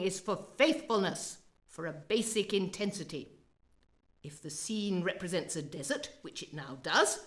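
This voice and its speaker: Actress as pompous dame, opera director, exacting, precise, formal, highly varied pitch, radio play. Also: female voice, lightly acted.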